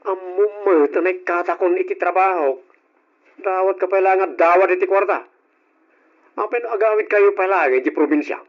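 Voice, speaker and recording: A man's voice: high voice, normal speed, close to an everyday voice. A man with an Ilocano accent playing a struggling, jobless husband and brother who answers curtly and defensively, in an old radio drama. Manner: defensive, curt. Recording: dull lo-fi old radio recording.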